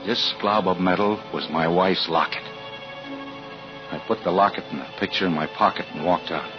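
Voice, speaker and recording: An actor playing a suspicious, uneasy husband and father who narrates in a tense, halting, deliberate way in 1940s radio style. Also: low-pitched, fairly even intonation, natural voice. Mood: brooding, tense. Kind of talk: 1940s radio acting with first-person narration. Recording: dull vintage 1940s radio with music.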